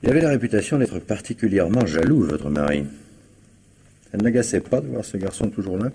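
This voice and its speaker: A male police commissioner with a deep, slightly rough, dark voice. He questions dryly and insistently, with sly insinuation, in the style of a 1950s French radio mystery. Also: lively intonation, a little fast. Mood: insinuating. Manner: dry, probing, insinuating.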